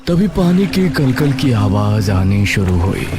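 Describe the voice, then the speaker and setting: A man's voice: on the low side, sing-song, slow pace. A man narrating a scripted horror story in a dramatic storytelling style. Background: music.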